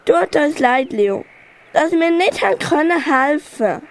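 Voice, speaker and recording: A woman's voice: high voice, expressive, measured pace. A schoolgirl with a Swiss German accent playing a helpful, resolute elephant in a children's radio play. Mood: helpful, eager. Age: child or young teen pupil. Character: helpful, resolute young female elephant Molly.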